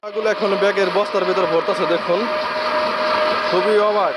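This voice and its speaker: Clear outdoor machine and traffic noise surrounds a man with a Bangladeshi accent and a fairly high voice. He narrates a vlog on the spot, marvelling and circling back over the same points as he explains. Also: steady tone, a little fast. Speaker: video blogger. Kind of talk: on-location vlog commentary. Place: outdoors at a riverside work site. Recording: noisy outdoor recording with vehicle ambience.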